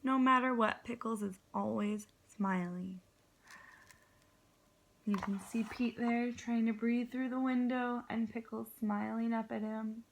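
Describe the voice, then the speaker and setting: A woman's voice: fairly high pitch, fairly even intonation, a little slow, vocal fry, low-quality recording. A woman kindergarten teacher reading a story aloud expressively, guiding young listeners with simple questions and dramatic phrasing.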